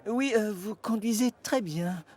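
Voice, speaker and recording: Un comédien doublant une petite voiture hésitante qui bafouille, dans un dessin animé. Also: male voice, high-pitched, measured pace, darkish tone, soft background music. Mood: timid. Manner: hesitant, stammering. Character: timid male little car, unsure.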